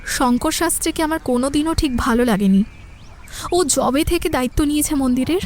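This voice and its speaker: A woman's voice: high-pitched, a little mellow. An actress playing an earnest, concerned young village woman in an audio drama. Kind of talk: Bengali audio drama acting.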